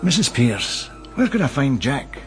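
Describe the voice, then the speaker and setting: A man's voice: mid-range voice, big pitch swings, measured pace, faint music behind. A man acting a courteous, shrewd London investigator with a British accent in a radio drama, polite and insinuating. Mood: probing, smooth.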